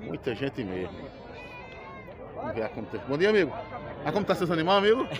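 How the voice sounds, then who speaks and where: A man's voice: fairly high pitch, sing-song, fairly quick. A man with a Northeastern Brazilian accent chatting casually to viewers as a market vlogger, repeating prices back. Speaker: vlog host touring a livestock market. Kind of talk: casual on-the-spot vlog chat. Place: outdoor, crowded livestock market. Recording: busy outdoor crowd noise.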